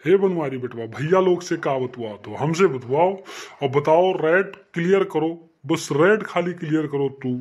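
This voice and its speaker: A man's voice: fairly high pitch, moderate pace, darkish tone, slightly rough. A man voicing a tipsy older village uncle in a comic cartoon, rambling and insisting boastfully with an Awadhi accent. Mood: tipsy insistence.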